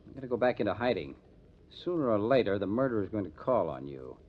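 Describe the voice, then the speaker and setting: An actor playing a hard-nosed, determined police detective in an old-time radio crime drama, speaking in a measured, emphatic way. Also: male voice, lowish voice, big pitch swings, breathy edge. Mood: dry, wry. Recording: dull lo-fi old radio recording.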